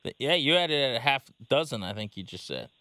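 A man's voice: mid-range voice, sing-song, quick pace, sharp tone, no room sound. A man hosting a sports podcast in a conversational interview style, close on a studio microphone.

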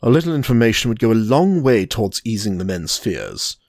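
A man voicing a reserved, skeptical young veteran with a low, curt manner. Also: sing-song, a little fast, a little mellow, dry recording, studio quality.

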